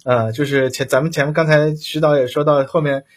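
Husky-edged male interview host. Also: a little high, steady tone, brisk. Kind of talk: live interview hosting.

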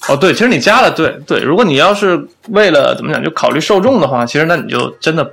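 A man with a gravelly creak in a slightly high voice co-hosts a casual chat. His pitch rises and falls in a lively way, with faint music underneath. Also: fast. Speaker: music podcast co-host.

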